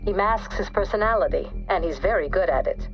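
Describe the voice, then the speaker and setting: An actress playing a guarded, self-assured psychologist and author who answers deliberately in a video game TV interview. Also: female voice, fairly low pitch, highs cut off, music in the background, lightly acted.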